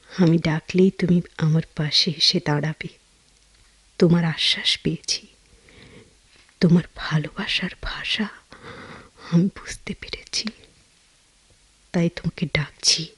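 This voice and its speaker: An actress playing a tender woman in love, reading her letter aloud softly and slowly in a radio drama. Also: fairly low pitch, heavily acted. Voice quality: soft, breathy. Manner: slow, measured, heartfelt. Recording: echoey voice over music bed.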